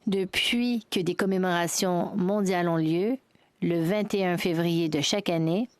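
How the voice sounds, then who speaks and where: Low-voiced woman giving a formal speech. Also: no echo. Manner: measured, formal delivery with brief restarts.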